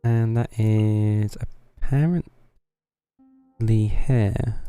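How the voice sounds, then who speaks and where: A man's voice: on the low side, drawn-out words, mellow, clear, resonant tone. A man doing casual livestream game commentary, muttering to himself in a wry, understated way. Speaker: game streamer. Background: faint game music.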